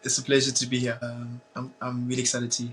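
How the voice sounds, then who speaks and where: Halting young man, very flat voice. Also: mid-range voice, soft background music. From Zimbabwe. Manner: halting, repeats words and restarts often. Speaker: guest, bitcoin community founder. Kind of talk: casual interview.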